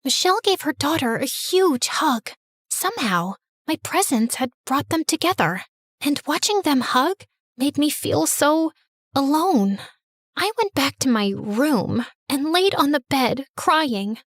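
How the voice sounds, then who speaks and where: A woman narrating a scripted animated story in the first person as a teenage girl, expressive and dramatic. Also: sits high, a little slow, dry recording, studio quality.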